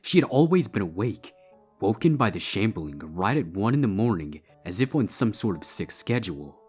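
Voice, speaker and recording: A man's voice: on the low side, very animated, normal speed, a little mellow, slightly rough. A man giving a scripted narration of a story as its narrator. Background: background music.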